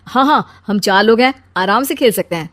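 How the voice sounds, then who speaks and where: A woman's voice: medium pitch, lively intonation, moderate pace, no room sound, lightly acted. A woman voicing a confident, cheeky young daughter-in-law in a cartoon story, teasing and cocky. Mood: eager. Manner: bright-spirited, teasing, cocky. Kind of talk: Hindi cartoon story acting.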